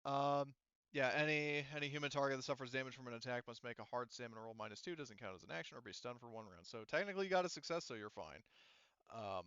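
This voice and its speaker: A man's voice: mid-range voice, fast talker, a bit bright, smooth, no room sound, lo-fi sound. A man acting as game master, casually narrating a tabletop game and talking through the rules.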